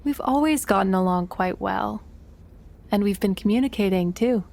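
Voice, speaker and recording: A woman's voice: mid-range voice, moderate pace, gravelly, darkish tone, natural voice. A woman playing a cunning, vengeful con artist in a crime audio drama, composed and taunting. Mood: mock sweetness.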